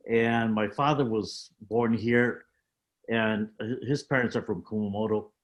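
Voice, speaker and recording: A man in his seventies, a panel guest on a video call, telling stories from his life in a measured, halting way with many fillers. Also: fairly low pitch, steady tone. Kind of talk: reflective storytelling on a panel.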